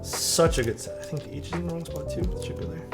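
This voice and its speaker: A man's voice: on the high side, fast. A man with a soothing voice chatting casually on a live stream while he builds a keyboard. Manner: relaxed, chatty, reads viewer chat aloud and answers. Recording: clear background music under voice. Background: music playing and keyboard clacking.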